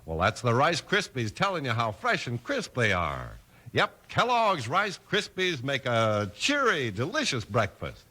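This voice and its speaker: A man's voice: mid-range voice, huge pitch swings, a little slow, pushed and bright. A man announcing and narrating in a scripted 1950s radio style.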